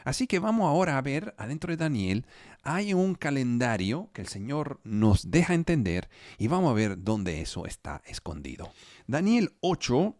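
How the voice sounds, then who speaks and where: A man preaching a sermon as pastor, Spanish not his first language, slow and halting, often repeating words as he searches for phrasing. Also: mid-range voice, sing-song, a little mellow, no echo, studio quality. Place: home studio for a live online service.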